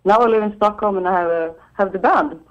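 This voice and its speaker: A Swedish woman, a guitarist, talking on the phone in halting English with a Swedish accent and frequent laughter. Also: mid-range voice. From Sweden. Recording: dull phone line.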